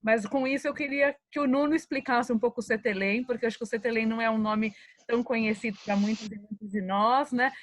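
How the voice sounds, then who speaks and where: A woman's voice: a little high, steady tone, fast talker, no echo. A woman moderating an online panel, encouraging the speakers and often adding "né" to her sentences. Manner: warmly encouraging, tags "né". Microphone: video call.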